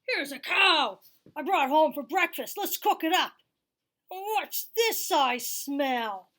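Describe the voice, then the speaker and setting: A woman's voice: expressive, fairly bright tone, full character voice. A woman voicing a hungry, man-eating male ogre who chants and mutters menacingly in a children's puppet show. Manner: slow, booming chanting and muttering.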